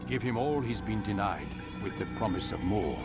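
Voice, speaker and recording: An actor playing an older, grave leader who speaks in a measured, instructive way, over a loud game score. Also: male voice, on the low side, expressive, over loud music, lightly acted.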